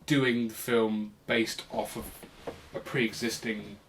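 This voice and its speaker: A man with a fairly low voice co-hosts a casual podcast. He talks bluntly and swears freely, in an informal, conversational way. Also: level tone, slow pace, fairly bright tone, a little hiss or hum, low-quality recording. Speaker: podcast co-host. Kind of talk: casual chat.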